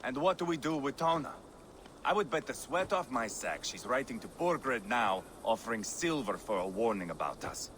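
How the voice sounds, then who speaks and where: A man's voice: medium pitch, big pitch swings, moderate pace, fairly bright tone, a little hiss or hum. A man playing a brash, foul-mouthed, hot-tempered Viking warrior in a video game, with a mocking, theatrical delivery. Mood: crude grumbling. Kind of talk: dramatic video game acting.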